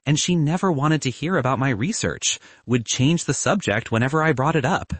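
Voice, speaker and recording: A man's voice: mid-range voice, moderate pace, no echo, close to an everyday voice. A man voicing a lonely young physics student in an audiobook, hesitant, defensive and full of self-doubt. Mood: rueful.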